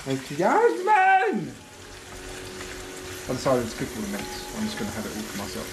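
A man's voice: medium pitch, huge pitch swings, moderate pace. A young man, chatting casually and explaining as he cooks in his home kitchen, filmed on a close handheld camera. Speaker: vlogger. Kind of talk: casual vlog chat while cooking. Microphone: handheld camera held close. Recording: home kitchen with sizzling pan noise. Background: frying sizzle.

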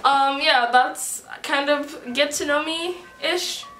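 A woman's voice: sits high, very slow, bright, forceful tone, roomy sound, a little hiss or hum, low-quality recording. A fifteen-year-old girl from the East Coast chatting casually to camera in her bedroom, rambling upbeat with lots of "like" and "whatever". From United States, East Coast. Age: teenager, 15. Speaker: vlogger on a shared channel. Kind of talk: casual get-to-know-me chat to camera. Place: small bedroom indoors. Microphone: camera mic at close range.

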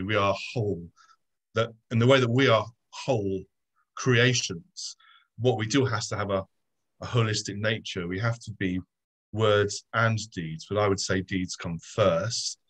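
A middle-aged man speaks over a webcam from a room at home, his voice fairly low. He argues his point in a measured, earnest way and often pauses on an um. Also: fairly bright tone. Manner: measured, earnest, many ums. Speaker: debater, subdeacon. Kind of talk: formal debate presentation. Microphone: video call webcam.

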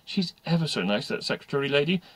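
A man's voice: on the high side, animated, quick pace, a bit bright, breathy edge, dry recording, pitched above the performer's own voice. A man voicing an earnest, fretful and easily upset schoolgirl with a polite manner.

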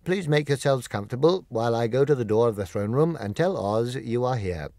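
A man's voice: mid-range voice, lively intonation, brisk, a little mellow, no echo, studio quality, close to an everyday voice. A man voicing a polite, dutiful palace soldier in a storybook, courteous, formal and emphatic.

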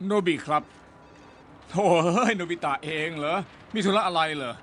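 A man's voice: sits high, normal speed, fairly bright tone, slight background noise. A man voicing a flustered, obliging middle-aged father in a cartoon dub, often hesitant. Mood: cheerful. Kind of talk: animated cartoon dubbing. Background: faint background music.

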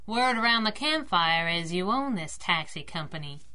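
A woman playing a scrappy, sharp-tongued young cab driver in a 1930s-style radio drama, brash and wisecracking. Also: on the low side, animated, moderate pace. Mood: guarded, defiant.

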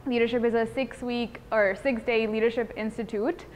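A woman's voice: fairly high pitch, steady tone, normal speed, slight background noise. A woman of about twenty from Bangladesh, speaks earnestly and articulately as a student giving an interview testimonial. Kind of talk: interview testimonial, semi-prepared talk. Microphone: lapel mic.

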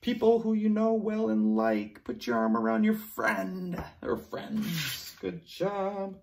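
Lively young male teacher with gravelly voice. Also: high voice, takes it slowly, noisy background. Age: young adult. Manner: animated, playful, sound effects and cheering praise. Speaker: preschool teacher. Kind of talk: vocabulary lesson and picture book read-aloud for young children. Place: classroom with word cards on a board.

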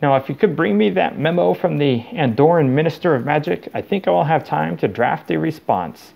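Man as officious, gabbling older bureaucrat, raised pitch. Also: expressive, brisk, gravelly, darkish tone, full character voice. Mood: brisk, self-satisfied. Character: deluded older male official briskly dictating orders to nobody.

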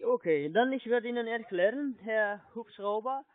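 A man with a foreign accent, not a native German speaker, giving a halting phone sales pitch and often checking he is followed. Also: no echo. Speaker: telephone sales agent, scammer. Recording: muffled low-fidelity phone line.

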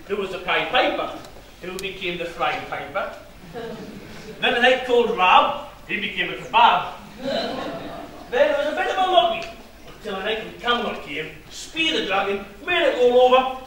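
A man's voice: sits high, big pitch swings, moderate pace, bright, forceful tone, exaggerated voice. A man playing a cheeky village joker in a pantomime, delivering gags to the audience in a playful, exaggerated style. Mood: cheeky storytelling. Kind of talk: pantomime stage comedy, addressing the audience. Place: theatre stage, distant microphone. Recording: echoey theatre stage from far off, noisy.

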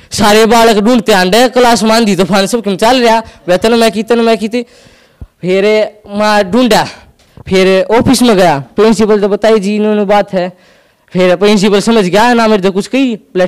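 A young man with a Haryanvi accent doing stand-up storytelling on an indoor stage into a handheld mic, acting out quick back-and-forth dialogue. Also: high voice, clear, resonant tone. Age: young adult. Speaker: stand-up comedian. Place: indoor stage with curtain backdrop.

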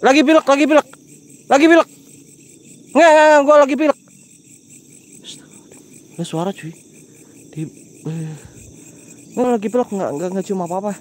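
A young man with a Sundanese accent and a stuffy nose from a cold, hosting a night ghost-hunting vlog outdoors on a close-held phone, switching between quick chatter and hushed, drawn-out calls to spirits. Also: very high voice. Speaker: paranormal explorer vlogger. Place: outdoors at night among banana plants. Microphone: phone camera held close.

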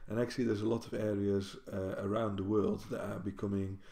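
A man's voice: on the low side, level tone, brisk, creaky, mellow. A man, talking casually to camera at home and rambling, correcting himself as he goes. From Netherlands. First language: Dutch. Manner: rambling, self-correcting, with many restarts. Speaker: university librarian. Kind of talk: casual talking-head vlog. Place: home kitchen, indoors. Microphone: built-in camera mic at desk distance. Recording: roomy kitchen echo.

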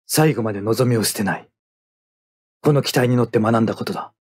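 A man's voice: mid-range voice, fairly quick, darkish tone, dry recording, clean studio sound, natural voice. An actor playing a stoic young male mecha pilot in an anime combat game, speaking in terse, tactical military phrases. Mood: cool, composed.

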